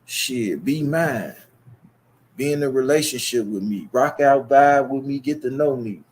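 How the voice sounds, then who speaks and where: A man's voice: mid-range voice, a little slow, darkish tone, breathy edge. A young man with a Chicago accent, talking straight to his viewers in a long, boastful, profane and rambling monologue. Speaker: YouTube streamer.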